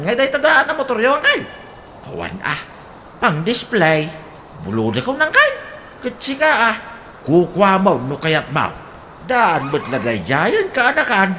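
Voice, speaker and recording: Brief: woman voicing a fussy Ilocano uncle, wildly swooping pitch, faint noise behind. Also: sharp tone. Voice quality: laughing, swooping delivery. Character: older uncle, proud and fussy about his motorbike. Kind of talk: radio drama acting.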